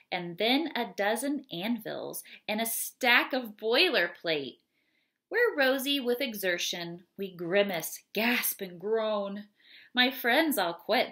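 A woman in her thirties reading children's poems aloud and chatting casually, in an unhurried, deliberate way. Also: mid-range voice, bright, forceful tone. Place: small home room, indoors. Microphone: webcam or laptop mic at desk distance.

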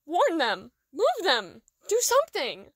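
A woman's voice: very high voice, huge pitch swings, takes it slowly, fairly bright tone, no echo, studio quality, heavily acted. A woman playing an earnest, anxious young female dragon in an audio drama, speaking with eager urgency. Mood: panicked.

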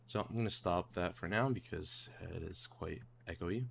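A man narrating a casual software tutorial in a hesitant, rambling way, repeating himself. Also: deep voice, normal speed. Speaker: tutorial presenter. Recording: home mic with clear background noise.

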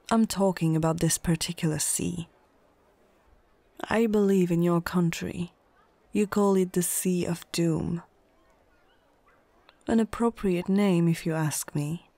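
A woman's voice: on the low side, warm tone, dry recording. A woman playing a proud, menacing orc chieftain in an ASMR fantasy roleplay, imperious and condescending, often dropping toward a whisper. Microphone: close microphone, dry.

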